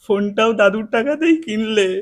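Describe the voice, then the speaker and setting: A man with a high-pitched voice works a prank call. He chats casually and needles the listener with mock-lovesick teasing. The recording is home-made, with clear noise and clicks. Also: normal speed. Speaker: prank-call video host.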